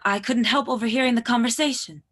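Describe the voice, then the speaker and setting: A woman's voice: on the high side, quick pace, a bit bright. A woman playing a coy, polite young household employee and secret sweetheart in a 1940s radio drama style. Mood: polite, guarded.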